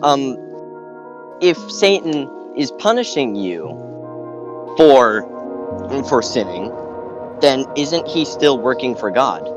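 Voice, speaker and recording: Man, medium pitch, halting and hesitant, casual panel chat, music behind. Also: sing-song. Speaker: podcast panelist.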